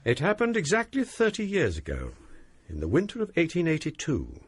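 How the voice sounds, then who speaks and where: A courtly old college provost. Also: male voice, a little high, huge pitch swings, breathy edge, no echo, full character voice. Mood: storytelling. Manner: courteous, measured, theatrical storyteller. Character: genial scholarly college provost, older man. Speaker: college provost and ghost-story writer. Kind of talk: radio drama acting.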